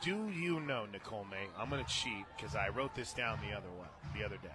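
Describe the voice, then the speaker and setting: An American man doing live radio play-by-play of a softball game, chatting between pitches. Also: lively intonation, fairly quick. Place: stadium radio booth, open to outdoor noise. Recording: clear outdoor ballpark noise.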